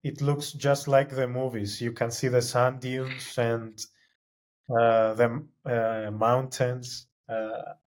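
Slow, flat-voiced Greek-native man. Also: medium pitch. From Cyprus. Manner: hesitant, many "uh" fillers and restarts. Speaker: guest, film graduate. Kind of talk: casual interview answers.

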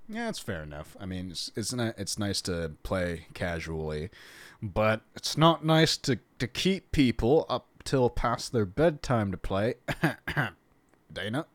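Male podcast host, low pitch, dry close sound, rambling chatty delivery. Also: animated, slow pace, no room sound. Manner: rambling, restarts often, laughs a lot. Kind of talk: casual interview chat.

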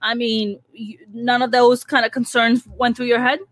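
A woman from Pakistan hosting an interview, asking curious, animated questions. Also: fairly high pitch, normal speed, dry recording. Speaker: host. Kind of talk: interview conversation.

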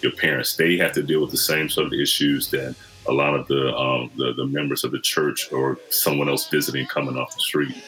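Very deep-voiced man in his forties. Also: flat delivery, a little fast, hardly any pauses, bright, forceful tone, faint music behind, rough recording. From Michigan. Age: 40s. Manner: reflective, earnest storytelling. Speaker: podcast guest. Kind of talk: casual conversation.

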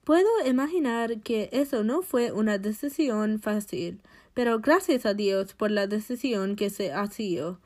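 A woman's voice: medium pitch, measured pace, a little mellow. A woman interviewer speaking Spanish in a scripted interview.

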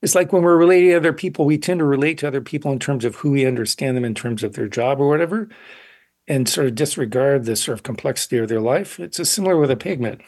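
A man in his sixties, an artist interviewed on radio, speaking in a thoughtful, halting way and often pausing to find words. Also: medium pitch, a little mellow, husky. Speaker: painter, interview guest. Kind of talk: reflective interview talk.

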